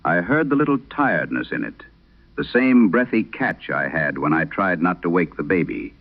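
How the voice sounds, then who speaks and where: A man performing as a late-night radio host, solemnly reading an eerie letter in measured, deliberate phrases through an old radio filter, in a vintage horror drama style. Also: sits low, big pitch swings, heavily acted. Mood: grave, haunted. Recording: tinny band-limited old radio sound.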